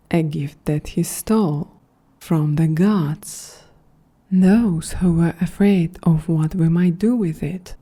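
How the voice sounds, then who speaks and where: A woman voicing a calm, quietly grandiose female android in a breathy, half-whispered, soft-spoken ASMR roleplay. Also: sits low, heavily acted. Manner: slow, measured, solemn delivery.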